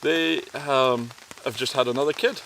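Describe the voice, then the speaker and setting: A man's voice: medium pitch, normal speed, creaky, low-quality recording. Scottish guy, accent a bit mixed, chilling by the fire, chatty and laughy, rain all over the mic. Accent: Scottish, mixed with time in Cornwall and Canada. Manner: relaxed, chatty, laughs easily. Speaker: outdoor vlogger. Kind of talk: casual chat by a campfire. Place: woodland camp outdoors in heavy rain. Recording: rain noise outdoors.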